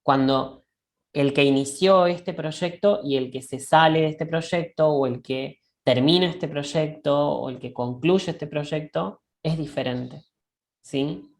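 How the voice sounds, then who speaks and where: A woman co-hosting a reflective discussion. She thinks aloud in a halting way and keeps checking in with a questioning "right?". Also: deep voice, vocal fry. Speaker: discussion co-host. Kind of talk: reflective conversational dialogue.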